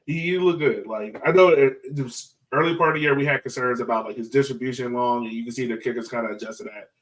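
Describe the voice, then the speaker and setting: A man chatting casually and excitedly in slangy speech as a soccer fan podcast co-host. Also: mid-range voice, quick pace, poor audio. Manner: animated, slangy.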